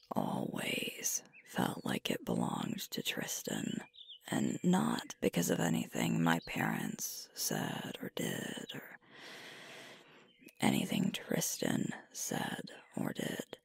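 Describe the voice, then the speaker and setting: A sweet, earnest young female werewolf leader, played by a woman, speaks in intimate ASMR roleplay. The voice is very low and breathy, often near a whisper, and swings widely in pitch. The delivery is tender and hesitant, close on the mic. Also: full character voice. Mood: reflective, soft. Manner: slow, tender, hesitant, with pauses. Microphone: close microphone, dry.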